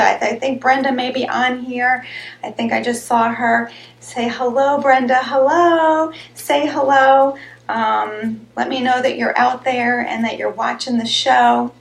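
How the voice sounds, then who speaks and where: A woman hosting a live health show, chatting casually and rambling a little, with a slightly hoarse, raspy voice. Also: a little high. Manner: chatty, rambling, greets viewers by name. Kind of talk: casual live-stream chat. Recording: echoey room.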